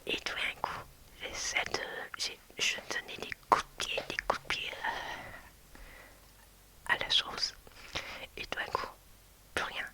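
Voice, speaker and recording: A woman's voice: slow, long pauses, low-quality recording. Whispering woman telling a story. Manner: hushed, hesitant, many restarts and fillers. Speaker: video creator.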